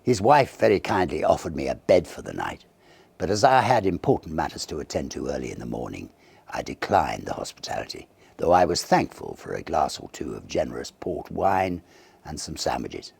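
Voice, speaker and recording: A man narrates a Victorian ghost tale in a low, gravelly voice. His delivery is theatrical and measured, with animated swoops and dramatic pauses. He sounds like a classic storyteller at work. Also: no echo. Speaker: audiobook narrator.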